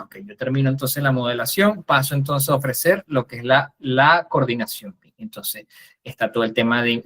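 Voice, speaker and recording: A man speaking as a guest in a class, explaining his project work in a loose, conversational way. He often restarts and adds asides as he goes. His voice sits at a middle pitch. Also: fairly bright tone. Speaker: guest architect and BIM coordinator.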